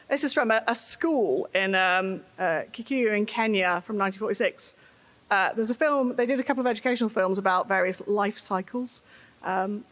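A woman giving a conference talk in a wry, humorous way, laughing often. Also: on the high side.

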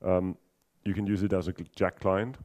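A deep-voiced Danish man presents at a software conference in accented English. His tone is dark and relaxed as he works through technical points. He pauses often and restarts sentences, with a dry, self-mocking humor. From Denmark. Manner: hesitant, many ums and restarts, dry humor. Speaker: conference speaker.

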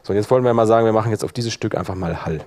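A man giving an informal live software tutorial as workshop instructor, thinking aloud while he demonstrates. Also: on the low side, racing.

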